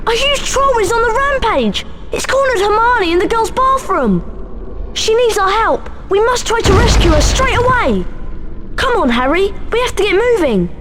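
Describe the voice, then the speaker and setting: A woman voicing an earnest, excitable young schoolboy in a video game, urgent and exclamatory. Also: very high-pitched, normal speed, background noise. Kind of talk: video game character acting.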